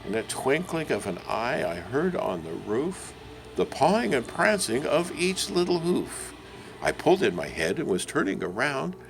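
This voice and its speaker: A jolly old Father Christmas acted out by a man recites a storybook poem with theatrical relish. The delivery is slow and swoops widely in pitch, lingering on phrases. Faint noise sits behind the voice. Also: creaky. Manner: dramatic, savoring phrases with long pauses.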